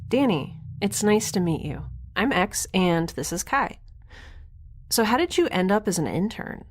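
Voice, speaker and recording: An actress playing a nervous, rambling, curious young science nerd in a naturalistic audio drama diary, chatting geekily. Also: female voice, medium pitch, very animated, measured pace, no echo, studio quality. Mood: earnest, curious. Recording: clear background noise, ambience.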